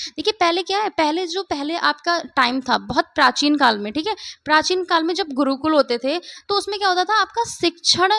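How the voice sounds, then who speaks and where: Woman, hoarse, teacher, exam-prep lecture, high-pitched, gravelly, dry close sound. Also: pushed and bright, no room sound. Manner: repeats points, keeps asking "ठीक है?".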